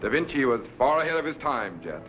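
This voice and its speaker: An actor playing a commanding, scholarly time-machine captain in a crisp, authoritative 1950s science fiction serial style. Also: male voice, medium pitch, big pitch swings, fast talker. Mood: instructive. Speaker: captain and mentor. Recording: dull vintage broadcast with loud music.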